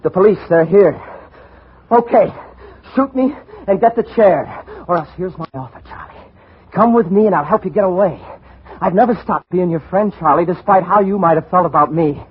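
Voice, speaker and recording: A desperate man on the run , played by a male actor, talking his way out with smooth, wheedling persuasion. His voice sits a little high for a man. It comes through a muffled, noisy vintage radio thriller recording. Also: moderate pace, lightly acted. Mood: pleading. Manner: smooth, wheedling, pleading talker. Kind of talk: old-time radio thriller acting.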